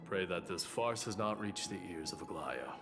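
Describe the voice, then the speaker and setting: Deep-voiced, menacing warrior in a game; keep it curt. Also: male voice, lively intonation, normal speed. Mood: cold. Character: stern warrior man, gruff and threatening. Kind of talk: game voice acting. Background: game music.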